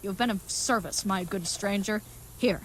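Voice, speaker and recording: Over clearly noisy ambience comes an earnest, simple-minded knight of an audio comedy , played by a woman. The voice sits at a middling pitch and delivers grand, florid, old-fashioned proclamations with kind-hearted chivalry. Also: moderate pace, lightly acted. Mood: grateful, courteous. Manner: grandiose, florid, mock-archaic phrasing. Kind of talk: comedic audio drama acting.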